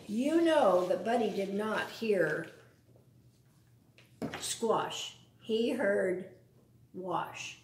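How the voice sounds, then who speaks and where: A woman reading a picture book aloud to children, expressively, pausing for simple explanations and questions to her young listeners. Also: a little high, darkish tone. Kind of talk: read-aloud storytime with asides to young listeners. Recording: roomy, echoing sound.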